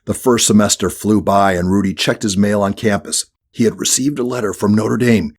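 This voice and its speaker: A man's voice: lowish voice, fairly even intonation, moderate pace, breathy edge. A man reading a scripted children's audiobook as the narrator.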